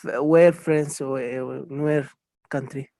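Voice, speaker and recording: A man's voice: mid-range voice, steady tone, slow pace, vocal fry, dark tone, no room sound. A man, a neurosurgeon, talking hesitantly in broken English on a video call. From Sudan. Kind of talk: casual video call chat.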